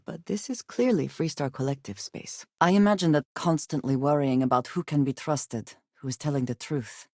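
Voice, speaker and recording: An actress playing a guarded, earnest female spacefaring companion in a video game, speaking in formal, measured wording. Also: low-pitched, warm tone, dry recording, clean studio sound. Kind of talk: video game character dialogue.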